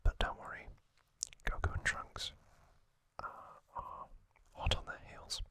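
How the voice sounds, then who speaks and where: Very slow, soft ASMR whisper. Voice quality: breathy unvoiced whisper. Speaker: ASMR host.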